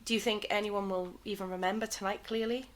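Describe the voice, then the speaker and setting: A woman's voice: mid-range voice, fairly even intonation, quick pace, close to an everyday voice. A woman playing a wistful, uncertain 1930s television worker in an audio drama, speaking pensively.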